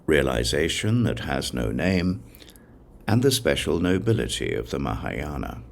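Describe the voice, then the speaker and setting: Very deep, slow-reading man with gravelly voice. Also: big pitch swings. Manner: solemn, measured recitation. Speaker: audiobook reader. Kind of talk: scripted narration of a religious text.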